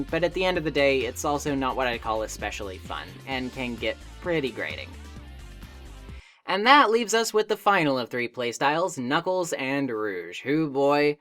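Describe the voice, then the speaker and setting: A woman with a notably low voice delivers scripted review narration. Her intonation moves around a lot, with wry, opinionated asides in a conversational manner. Faint music plays behind her. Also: fairly bright tone. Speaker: video essay host and game reviewer. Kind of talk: scripted video essay narration. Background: background music.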